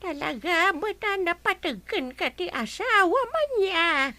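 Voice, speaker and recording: A woman voicing a fussy old village woman in a radio comedy drama, with a shrill, drawn-out voice. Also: very animated, bright, forceful tone, no room sound, heavily acted. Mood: chiding.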